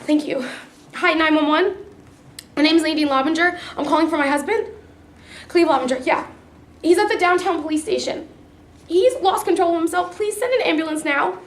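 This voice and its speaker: A woman's voice: very high voice, normal speed, pauses often. A woman playing a worried, insistent wife in a staged play reading, earnest and pleading but firm. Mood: anxious, explaining. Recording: echoey room, faint background noise.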